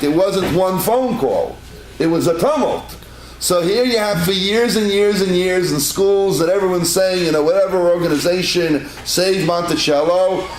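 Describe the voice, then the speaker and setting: A rabbi teaching a congregation in a chatty storytelling way, piling up repeated words for emphasis. His voice sits a little high for a man. The room is echoey, with a faint hum underneath. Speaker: rabbi giving a class. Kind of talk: informal Torah class to a congregation. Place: synagogue room.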